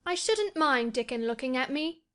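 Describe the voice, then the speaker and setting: A woman performs a frail young boy from a storybook. His voice is pitched high, well above an adult's, with a plaintive, highly-strung eagerness. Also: lively intonation, normal speed, a bit bright. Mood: eager. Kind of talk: acted storybook dialogue.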